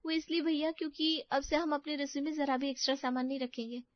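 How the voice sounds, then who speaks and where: Storybook young housewife played by a female narrator: fairly high, flustered, expressive homely chatter, slightly muffled. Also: fast talker, dry recording, natural voice. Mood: earnest, explaining. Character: young Indian daughter-in-law, flustered, chatty homemaker.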